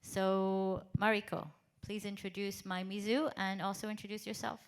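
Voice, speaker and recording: A woman from Israel, presenting at a conference and moderating a panel in a direct, brisk way. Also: mid-range voice, level tone. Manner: direct, brisk, with frequent fillers. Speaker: accelerator program leader and panel moderator. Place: large conference hall.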